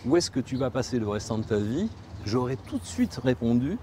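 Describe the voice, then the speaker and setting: A man whose first language is Spanish, speaking in a reflective documentary interview, thoughtful and halting, often repeating and rephrasing as he searches for words. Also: medium pitch, very animated, warm tone, slight background noise.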